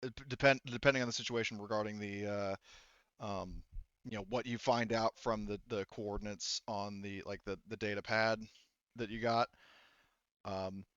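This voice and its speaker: A man's voice: lowish voice, fairly even intonation, moderate pace, a bit bright, clean tone, no echo, muffled recording. A man running a tabletop game as game master, talking casually to his players in an informal, sweary, rambling way.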